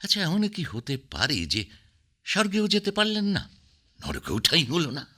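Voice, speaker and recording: A man's voice: on the high side, huge pitch swings, moderate pace, sharp tone, breathy edge, full character voice. An actor playing a curious man who eagerly presses his friend with questions in a radio play.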